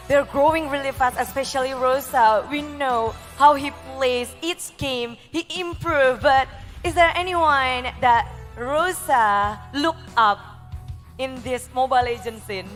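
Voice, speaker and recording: High-pitched upbeat female co-host. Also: unhurried, fairly bright tone, soft background music. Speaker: esports co-host. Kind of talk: post-match interview.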